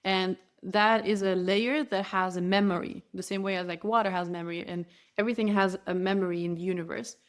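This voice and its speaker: A woman's voice: medium pitch, steady tone, brisk, hardly any pauses. A woman whose first language is Spanish, a spiritual guide as a podcast guest, explaining her practice earnestly and flowingly, often restarting her phrases. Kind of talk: podcast interview.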